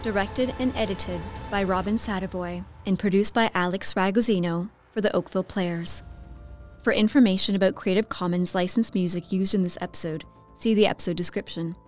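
A woman with a medium-pitched voice announces scripted radio drama credits. Music plays clearly behind her as she reads. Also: brisk.

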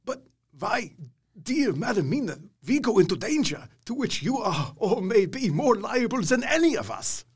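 A man's voice: sits high, very animated, moderate pace, slightly rough, exaggerated voice. An actor playing an elderly professor, wise and grave, who speaks, odd word order and a solemn, fatherly manner. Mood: pleading. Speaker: Van Helsing. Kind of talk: audio drama acting.

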